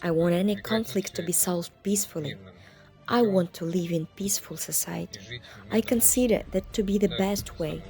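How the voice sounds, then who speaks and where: A woman's voice: fairly low pitch, normal speed, warm tone, faint music behind. Woman reading earnest voice-over. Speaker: voice-over interpreter. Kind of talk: read voice-over translation.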